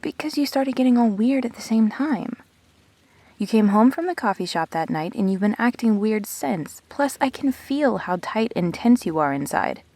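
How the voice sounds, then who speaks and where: A woman playing a perceptive, teasing, supportive friend in an intimate sci-fi audio drama, expressive and blunt. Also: a little high, normal speed, no echo. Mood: hurt, indignant.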